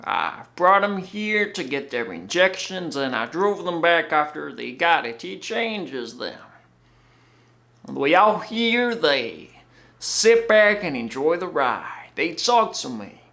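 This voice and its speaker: A man performs a veteran cab driver who has had it up to here. He is loud and folksy, hammering his words in a raised, bright, forceful voice. The delivery is that of a theatrical monologue. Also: a little high, very animated, slow pace, gravelly, heavily acted. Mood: emphatic reminiscing. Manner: folksy, emphatic, repeating words. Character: fed-up veteran cab driver, working-class, exasperated, loud.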